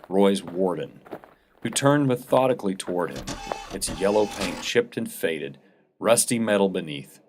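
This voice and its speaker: A man's voice: sits low, background noise. A man narrating a science fiction short story as a scripted, dramatic reading.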